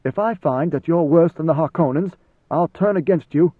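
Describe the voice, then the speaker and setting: A man's voice: fairly high pitch, normal speed, no echo, voice pushed up, natural voice. A blunt and wary veteran swordsman, played by a male reader, loyal but defiant, firing off short, challenging questions in a lifted register. The audio is narrow and phone-like, with no room sound at all. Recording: thin phone-like dry sound.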